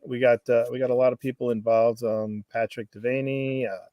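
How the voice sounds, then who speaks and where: A man's voice: medium pitch, steady tone, fairly quick, no echo, dull audio. A man chatting loosely and casually as a guest on a video call, often saying "you know". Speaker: guest, radio play organizer. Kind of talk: casual chat.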